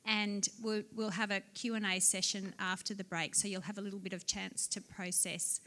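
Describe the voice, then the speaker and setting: A woman's voice: medium pitch, level tone, normal speed, bright, forceful tone. A woman hosting a live seminar, introducing the speaker in a friendly way with many hesitant fillers. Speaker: seminar host, assistant director. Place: seminar room with a small audience.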